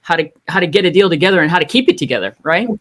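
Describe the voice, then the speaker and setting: A man's voice: fairly high pitch, expressive, rapid-fire, a bit bright. A man hosting an interview, a coach who chats in an affirming, conversational way. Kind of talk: casual interview chat.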